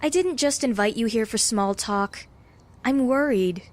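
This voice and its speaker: A woman's voice: a little high, unhurried, natural voice. A woman playing a brave, earnest young lab intern in a comic-book audio drama, talking in a conversational, coaxing way. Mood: worried, probing.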